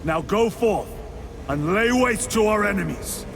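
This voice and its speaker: A man's voice: sits high, animated, measured pace, vocal fry, loud music behind the voice, heavily acted. An actor voicing a stern male warrior officer who briefs his squad in clipped, commanding sentences.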